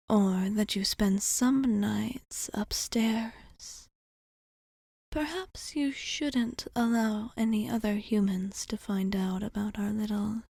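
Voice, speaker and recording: Elegant, protective vampire barkeep played by a woman, ASMR roleplay: unhurried, mellow, half-whispered, formal. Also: a little high, no echo, studio quality, exaggerated voice. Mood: concerned, tender. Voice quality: soft, breathy half-whisper. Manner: measured, formal phrasing with gentle pauses. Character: elegant centuries-old female vampire bartender, calm and protective.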